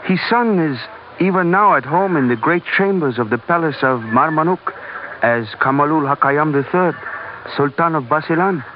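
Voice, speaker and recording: An actor in a 1940s radio adventure playing an unhurried, turbaned island port official with formal, cryptic, measured phrasing. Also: male voice, a little high, animated, close to an everyday voice. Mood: solemn, ominous. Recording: noisy, dull old radio recording.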